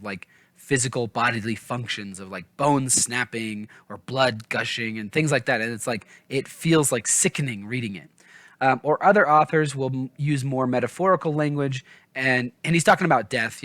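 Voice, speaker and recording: A man's voice: mid-range voice, fairly bright tone, no room sound. Guy doing a casual book vlog, mid-range voice, dry sound, kinda rambly and self-deprecating. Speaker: book review vlogger. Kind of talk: casual chatty book discussion to viewers.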